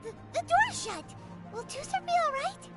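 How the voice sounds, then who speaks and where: A woman voicing a tiny, nosy fairy companion in a video game, excitable and blunt. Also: extremely high pitch. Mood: worried. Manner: excitable, blunt, quick to comment. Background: game music.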